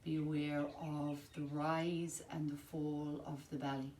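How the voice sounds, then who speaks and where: Low-voiced woman guiding a slow relaxation meditation. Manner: calm, soothing, measured instruction with long pauses. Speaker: yoga nidra teacher. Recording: roomy, distant mic, faint background noise.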